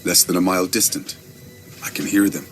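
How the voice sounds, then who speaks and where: A stern Mohawk warrior in a game cutscene. The voice is low, with music clearly behind it. He speaks in blunt, terse phrases, proud and sceptical. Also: completely flat delivery, brisk, a bit bright. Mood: grave urgency. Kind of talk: dramatic game cutscene acting.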